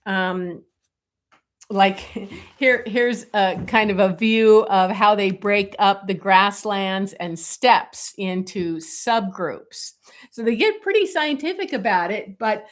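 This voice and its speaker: A middle-aged woman illustrator chatting casually on a live stream, with many fillers and a voice going hoarse. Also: mid-range voice, takes it slowly. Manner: many fillers, talks while showing pages. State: losing her voice, coughing.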